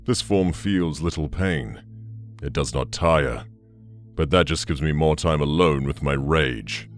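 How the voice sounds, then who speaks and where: An actor playing an ancient, bitter demon conqueror in an audio drama, brooding and contemptuous in a menacing monologue. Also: male voice, very deep voice, soft background music. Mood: brooding rage. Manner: slow, menacing, brooding delivery.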